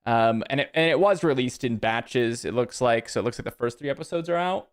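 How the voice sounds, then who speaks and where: A man's voice: mid-range voice, a little fast, clear, resonant tone. Chatty man streaming a card game. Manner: chatty, answers chat, thinks aloud about plays. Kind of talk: casual live-stream chat while playing.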